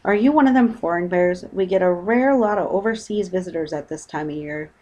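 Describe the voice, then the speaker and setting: A woman's voice: on the low side, brisk, a little mellow, lightly acted. A woman voicing an unflappable working-class boatman from a storybook, colloquial, dry and matter-of-fact. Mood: dry, unimpressed.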